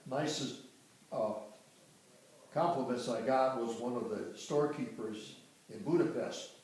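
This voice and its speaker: An older man from Michigan recalling his family history in a casual, halting way, often restarting and correcting himself. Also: medium pitch, a little mellow. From Dearborn, Michigan. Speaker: interviewee recounting family history. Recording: roomy, distant home recording.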